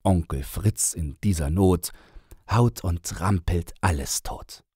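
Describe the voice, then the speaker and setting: Deep, very slow male storyteller. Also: a little mellow, breathy edge, no echo, studio quality. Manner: measured, dramatic pauses. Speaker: audiobook narrator. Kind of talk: storybook verse narration.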